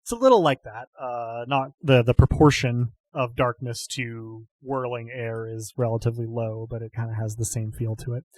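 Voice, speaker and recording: A man hosting and narrating a tabletop game podcast as game master, talking haltingly with lots of fillers and easy chuckles. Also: medium pitch, fairly even intonation, darkish tone, no room sound, poor audio.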